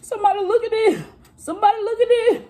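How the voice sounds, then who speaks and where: A woman in her thirties chatting casually with her viewers during a mukbang eating video, talking straight to them with drawn-out exclamations between bites. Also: very high voice, warm tone. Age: 30s. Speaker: vlogger.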